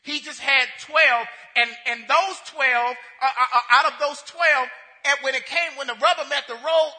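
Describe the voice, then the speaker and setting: Very high, bright-voiced male preacher in a church hall. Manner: impassioned, with stammered restarts and repeated phrases; swings between loud and hushed delivery. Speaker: pastor. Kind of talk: sermon. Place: church sanctuary.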